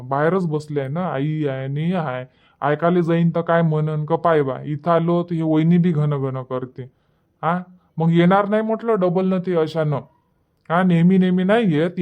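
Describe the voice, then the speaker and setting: A man's voice: a little high, phone-quality sound, lightly acted. A man voicing an exasperated cartoon village husband, blunt and scolding, with a Varhadi Marathi accent. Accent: Varhadi Marathi from Vidarbha. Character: exasperated village husband, protective of his sister. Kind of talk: dubbed cartoon comedy dialogue.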